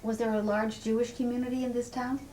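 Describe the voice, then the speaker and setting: A woman interviewer with a mellow, warm tone asks short, plain questions about growing up. Her voice is off camera, with a faint hiss behind it. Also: on the high side, flat delivery, fast. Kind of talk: oral history interview.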